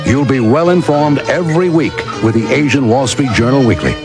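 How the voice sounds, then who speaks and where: A man's voice: medium pitch, lively intonation, fairly quick, over music. A man reading a scripted subscription advertisement as an announcer. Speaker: commercial announcer.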